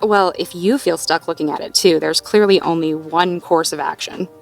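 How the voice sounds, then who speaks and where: Girlfriend role, female performer: lowish voice, supportive and playful, naturalistic intimate roleplay delivery, soft music behind. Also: normal speed, gravelly. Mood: playful, amused. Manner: gentle, encouraging, lightly teasing, natural hesitations and chuckles. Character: supportive, playful girlfriend helping partner problem-solve. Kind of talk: intimate roleplay audio, one-sided conversation.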